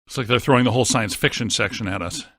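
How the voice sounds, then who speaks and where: A man playing a brainy, deadpan scientist ghost hunter in a video game, with precise technical wording. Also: fairly low pitch, quick pace, dry recording, clean studio sound. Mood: deadpan, analytical. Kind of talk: scripted video game voice acting.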